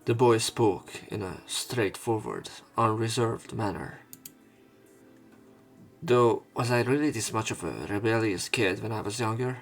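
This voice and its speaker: A man's voice: fairly low pitch, measured pace, soft background music. A man reading visual novel text aloud as dramatic narration for a let's play.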